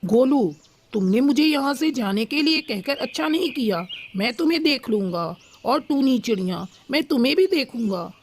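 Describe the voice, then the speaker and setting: A woman's voice: sits high, lively intonation, moderate pace, a little hiss or hum, voice pushed down. A woman voicing an arrogant, cruel rich male crow in a children's cartoon, taunting and boastful. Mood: threatening. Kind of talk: Hindi children's cartoon dialogue.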